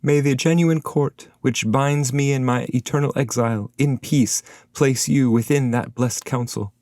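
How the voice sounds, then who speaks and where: A man's voice: mid-range voice, steady tone, unhurried, darkish tone, dry recording, pitched above the performer's own voice, lightly acted. A solemn male shade of an ancient poet speaks in courteous, formal verse, voiced by a male reader. His delivery is declamatory and archaic, recorded dry for an epic poetry audiobook. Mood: solemn greeting.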